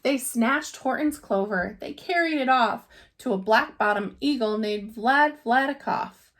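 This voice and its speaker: High-voiced woman reading a storybook. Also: unhurried, a bit bright. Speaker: teacher reading aloud. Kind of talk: expressive picture-book storytelling in rhyme.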